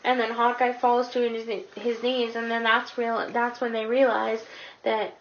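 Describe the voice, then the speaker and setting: A woman's voice: a little high, monotone, measured pace. A woman co-hosting a movie review, retelling the story in casual, slangy, sweary chat. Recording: dull lo-fi sound, clear background noise.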